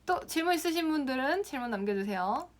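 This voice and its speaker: A woman's voice: high voice, expressive, quick pace, a little hiss or hum. A young woman from the Gyeongsang region teaching Instagram, answering viewer comments on a casual live stream and laughing often. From Gyeongsang province, Korea.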